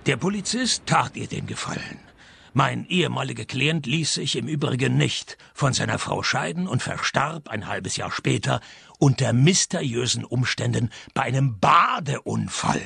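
An actor playing a sardonic private detective, narrating and talking in a comic radio play. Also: male voice, mid-range voice, expressive, moderate pace, creaky, sharp tone, husky, no echo, exaggerated voice. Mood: wry narration. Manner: dry, sarcastic. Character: sardonic, world-weary male private detective narrating his cases.

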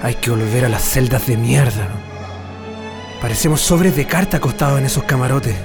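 A man's voice: mid-range voice, steady tone, normal speed, natural voice. Man playing a rebellious Chilean prisoner, faint music. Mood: bitter. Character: rebellious, bitter male political prisoner. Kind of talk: radio drama acting.

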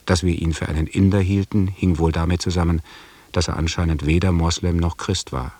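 A man's voice: low-pitched, steady tone, fairly quick, a little mellow, husky, no room sound. A man playing a sober expedition chronicler, narrating in a factual, precise, detached way in a German radio drama. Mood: matter-of-fact.

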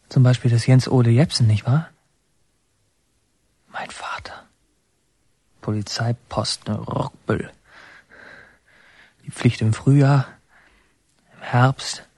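An actor playing a brooding young inmate in an introspective radio monologue, musing in halting fragments, breathy and often half-whispered. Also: male voice, medium pitch, darkish tone, no room sound, heavily acted. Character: brooding young male inmate remembering his father.

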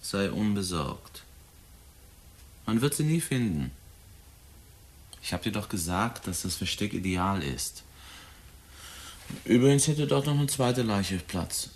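Callous young killer, low husky voice, swooping intonation, cold flippant taunts, radio drama. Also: male voice, lively intonation, takes it slowly, long pauses, heavily acted. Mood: cool taunting. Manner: cold, flippant, mockingly insinuating. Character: cynical 23-year-old murderer taunting his father. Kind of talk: German radio drama acting.

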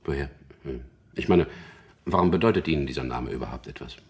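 A very deep, guarded, brooding man. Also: brisk. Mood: guarded, bitter. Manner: brooding, reticent. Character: guarded, bitter middle-aged son of executed rebel. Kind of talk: radio drama acting.

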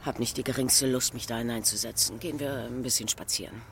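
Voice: mid-range voice, fairly even intonation, fast talker, slight background noise, natural voice. A performer playing a cool, bored and defiant elegant courtesan in a radio play. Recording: loud music under the voice. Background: loud music.

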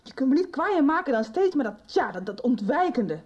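A woman's voice: high voice, very animated, fairly quick, a little mellow. A young woman playing an impatient, agitated daughter in a radio soap, pressing with insistent questions. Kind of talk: radio drama acting.

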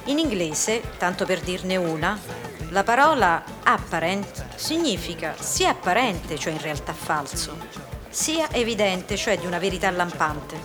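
Low, dry, argumentative woman acted. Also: expressive, unhurried. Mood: argumentative, sardonic. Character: Maria, dry, irritated, argumentative woman hosting a party. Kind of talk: radio drama acting with inner monologue. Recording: music behind the voice.

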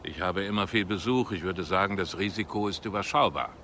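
An actor playing a guarded, pragmatic confidant in a naturalistic radio drama. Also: male voice, sits low, brisk, sharp tone, slight background noise. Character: guarded, pragmatic male confidant who offers help.

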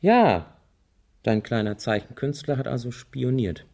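An actor playing a wry, easygoing man in a German radio crime drama, thinking aloud methodically in a dry, deliberate way. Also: mid-range voice, big pitch swings, gravelly, warm tone, heavily acted. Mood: pondering.

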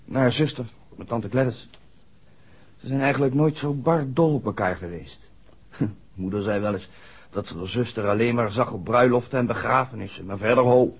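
A man's voice: mid-range voice, moderate pace, husky. A man acting an earnest, hesitant young village garage worker in a 1960s radio play, speaking deferentially. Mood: uneasy. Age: young adult. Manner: hesitant, deferential to his questioner. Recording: dull 1960s radio drama recording.

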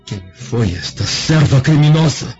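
Stunned, dignified elderly senator of Rome; mid-pitched, Brazilian, formal radio drama delivery, slightly muffled recording. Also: male voice, expressive, measured pace, darkish tone, slightly rough. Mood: shocked, anguished. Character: old Roman senator, anguished and stunned, dignified. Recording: dull lo-fi sound, faint music behind.

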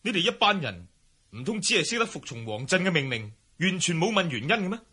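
A man's voice: high voice, very animated, fast, sharp tone, slightly rough, exaggerated voice. A man playing a righteous martial-arts hero in a historical radio drama, declaiming with scorn for corrupt courtiers. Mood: stern.

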